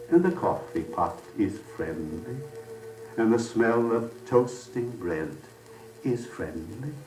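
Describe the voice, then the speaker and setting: A man narrating an old-time radio family drama in a solemn, lyrical, measured and theatrical style, lingering on his phrases. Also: on the low side, mellow, a little hiss or hum. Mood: wistful, cozy. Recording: old 78rpm radio record, roomy, distant, music.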